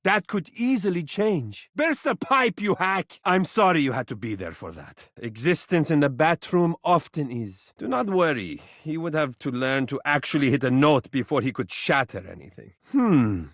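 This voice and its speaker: An actor playing a vain, tender living mirror in a video game, speaking in dramatic, florid, formal and affectionate phrases. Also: male voice, fairly high pitch, big pitch swings, moderate pace, few pauses, a bit bright, dry recording, studio quality, heavily acted. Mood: haughty, boastful. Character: vain, tender, theatrical living mirror, adult male suitor. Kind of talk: video game character voice acting.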